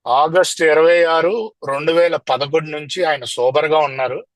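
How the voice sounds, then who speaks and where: Rapid, friendly interpreter on a video call. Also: male voice, on the high side, steady tone, no echo. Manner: friendly, with many fillers. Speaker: translator. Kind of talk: live interpreting at an online meeting.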